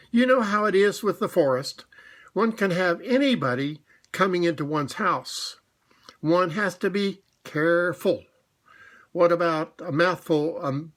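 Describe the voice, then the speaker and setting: A man voicing a fussy, cautious rabbit in a higher, louder, prim manner. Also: unhurried, exaggerated voice. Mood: careful.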